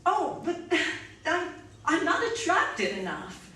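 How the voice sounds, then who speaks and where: A woman's voice: very high voice, wildly swooping pitch, unhurried, sharp tone, exaggerated voice. A woman playing an earnest, reserved robot scientist in radio theatre style. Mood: flustered, hopeful. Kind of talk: theatrical radio play acting. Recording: echoey room, distant mic, faint noise.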